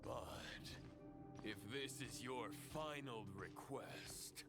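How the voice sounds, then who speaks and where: An actor playing a proud, scornful ancient knight in a video game, speaking in a grandiose, archaic and deliberate manner. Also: male voice, medium pitch. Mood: challenging, grave. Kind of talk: dramatic video game voice acting. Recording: loud music under the voice. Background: loud music.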